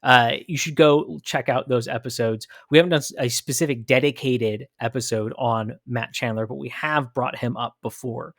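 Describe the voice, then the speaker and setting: Guy doing an opinion podcast, punchy and repetitive, asks questions then answers them, mid pitch, dry close sound. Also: fairly even intonation, moderate pace, hardly any pauses, fairly bright tone, no room sound. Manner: emphatic, rhetorical questions, repeats phrases. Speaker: podcast host. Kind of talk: opinionated commentary.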